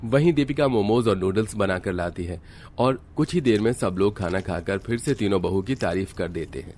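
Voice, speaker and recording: Low male storyteller, steady narration, faint hiss behind. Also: normal speed. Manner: steady, explanatory.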